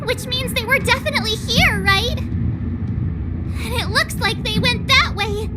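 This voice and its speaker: A woman voicing a perky, impulsive young girl adventurer in a video game, with an expressive, exclamatory delivery. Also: very high-pitched, unhurried, noisy background. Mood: excited, curious.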